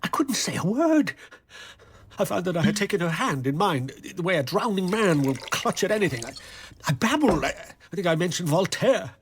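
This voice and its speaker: An actor playing a fretful, self-pitying middle-aged intellectual in a radio drama, wordy and melodramatic. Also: male voice, high-pitched, sing-song, slight background noise. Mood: distraught. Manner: agitated, wordy, melodramatic, halting.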